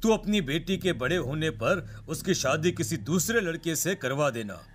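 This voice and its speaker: A man's voice: on the high side, animated, normal speed, slight background noise, natural voice. A man voicing a dismissive businessman in a cartoon drama, reasoning his way out of an obligation. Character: dismissive village businessman refusing an old friend.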